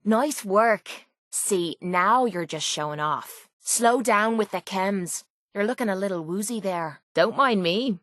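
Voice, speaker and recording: A woman's voice: mid-range voice, unhurried, few pauses, a bit bright, dry recording, studio quality, natural voice. Actress as a brash Irish mercenary woman. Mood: wry, casual. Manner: blunt, cocky, slangy. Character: tough, brash, sarcastic young woman mercenary companion. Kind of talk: video game companion barks.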